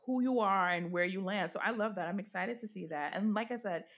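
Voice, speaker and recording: A woman's voice: mid-range voice, fairly quick. A woman hosting a podcast interview, upbeat and encouraging, gushing with enthusiasm. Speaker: podcast host. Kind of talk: casual interview chat.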